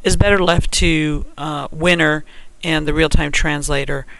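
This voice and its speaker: A man giving an unscripted step-by-step software tutorial, thinking aloud in a halting way as he works. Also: a little high, steady tone, gravelly, dry recording, rough recording.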